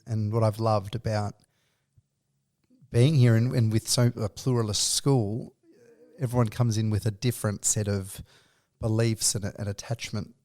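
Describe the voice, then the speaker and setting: A man's voice: lowish voice, darkish tone. A man hosting a podcast interview, asking long, loosely worded questions that circle and restart. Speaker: podcast host.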